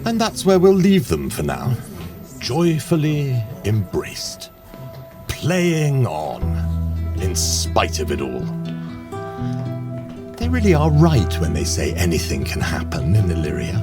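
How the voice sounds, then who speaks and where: A man's voice: on the low side, huge pitch swings, takes it slowly, long pauses, over music. A man narrating an audio play in a theatrical, scripted storytelling style.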